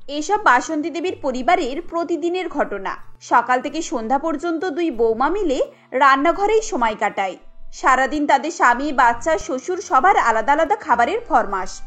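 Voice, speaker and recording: A woman's voice: high voice, lively intonation, normal speed, a bit bright, faint music behind. A woman giving scripted narration for a cartoon family story.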